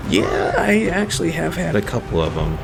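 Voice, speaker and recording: A man's voice: fairly high pitch, huge pitch swings, moderate pace, creaky. A man streaming a strategy game, giving his units orders aloud and answering chat questions in a casual way. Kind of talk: casual live gameplay commentary. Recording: game music over the voice. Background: game music.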